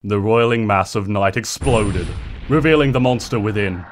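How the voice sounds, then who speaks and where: A man's voice: fairly low pitch, normal speed, background noise. A man reading fiction aloud as a narrator, in a dramatic scripted storytelling style. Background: ambient sound effects.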